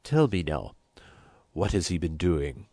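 A man plays a small, stooped old bishop from a storybook. He speaks graciously and mildly, in measured phrases, with a dry, close sound. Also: deep voice, sing-song, mellow, no echo, full character voice. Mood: gentle questioning. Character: gracious little bent-over old bishop.

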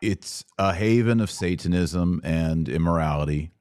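Deep-voiced man, dry sound. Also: measured pace, no echo. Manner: questioning, thinks out loud. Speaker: podcast host. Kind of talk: casual podcast conversation.

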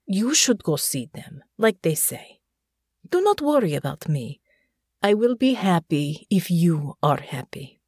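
A woman voicing a blunt, warm young commoner with a foreign accent and broken, plainspoken phrasing. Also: fairly low pitch, expressive, slow, husky, dry recording, clean studio sound, full character voice. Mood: fond.

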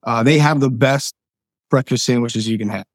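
Hoarse man, eager and chatty, medium pitch, dry sound. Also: moderate pace, dry recording. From Lancaster County, Pennsylvania. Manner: friendly, enthusiastic, filler-heavy. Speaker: guest. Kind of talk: casual interview chat.

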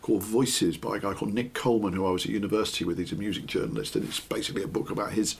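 A man's voice: lowish voice, rapid-fire, vocal fry, slight background noise. A man co-hosting a chatty podcast, getting over the flu and laughing easily. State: recovering from flu. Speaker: podcast co-host. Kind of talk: casual chat. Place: home study room.

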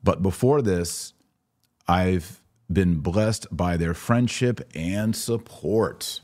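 An American man hosting a religious commentary podcast, speaking emphatically with deliberate pauses for stress. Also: sits low, darkish tone. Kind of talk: opinionated commentary to camera.

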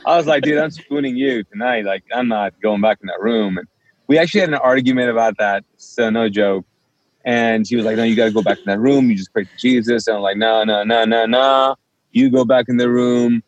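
A man's voice: mid-range voice, a little fast, dry recording, muffled recording. A man whose first language is Urdu, a pastor telling his story as a guest in a casual interview and acting out the dialogue. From Kuwait. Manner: animated storyteller, acts out quoted dialogue.

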